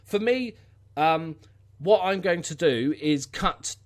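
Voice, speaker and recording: Man, Scouse accent, fairly high-pitched, casual vlog host, unscripted, informal, wry. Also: expressive, slow pace. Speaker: YouTube channel host.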